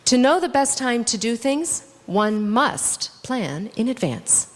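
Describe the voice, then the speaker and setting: A woman's voice: on the high side, expressive, slow pace, voice pushed up. A woman voicing a lofty, prudent female heron in a children's story, with lilting, declarative lines in an echoey hall. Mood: lofty, assured. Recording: echoey hall with long reverb.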